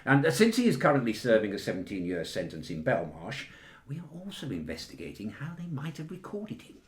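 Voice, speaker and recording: A man with a British accent playing a formal, dutiful government official delivering a precise, measured briefing in a satirical radio play. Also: mid-range voice, wildly swooping pitch, roomy sound, slight background noise. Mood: measured, uneasy.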